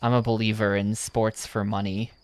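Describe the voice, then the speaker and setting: A young man from the United States chats over his gameplay in a relaxed, casual way. His voice sits low, and his humor is dry and deadpan. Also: moderate pace, smooth. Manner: dry, joking asides, deadpan. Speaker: video game streamer.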